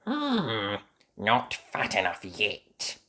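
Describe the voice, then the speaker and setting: A storyteller voicing a nasty, sly old witch in a children's story, hungry and gloating, with a husky drawl. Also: medium pitch, wildly swooping pitch, very slow, sharp tone, heavily acted.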